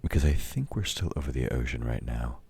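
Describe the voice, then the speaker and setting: An actor playing a domineering, taunting villain in an intimate audio roleplay, gruff and commanding as he mocks a meek listener. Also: expressive, a little fast, heavily acted. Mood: irritated, threatening. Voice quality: very deep, dark, husky voice. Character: domineering, taunting male villain, grudging temporary ally of heroes. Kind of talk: intimate audio roleplay, scripted dramatic acting.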